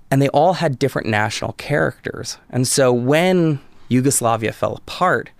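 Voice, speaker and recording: A man from Southern California, a podcast guest telling a story in casual conversation, with quick restarts and lots of "like" and "you know". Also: lowish voice, gravelly, no echo.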